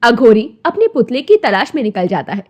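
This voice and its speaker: A woman's voice: a little high, sing-song, normal speed, husky, highs cut off. A woman narrating a scripted moral story as its storyteller.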